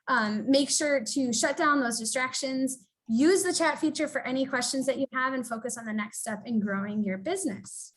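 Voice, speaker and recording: A woman with a high voice hosts an online business webinar. She talks in an upbeat, chatty way with the odd filler. She sounds like she is on a video call. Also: fairly bright tone. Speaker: webinar host. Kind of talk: webinar hosting.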